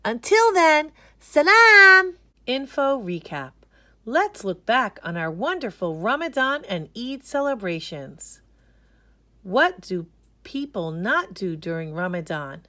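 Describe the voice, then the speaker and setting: Woman doing a kids read-aloud, real slow and lively pitch, dry close sound. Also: no room sound. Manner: expressive, deliberate. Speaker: storybook reader. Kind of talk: children's read-aloud narration.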